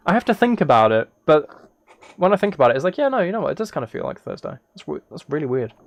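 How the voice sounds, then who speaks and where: A man's voice: on the high side, very animated, fast, gravelly, highs cut off. A man chatting casually on a livestream as he plays a game, rambling and thinking aloud. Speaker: live streamer. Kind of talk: casual livestream chat while gaming, reading chat.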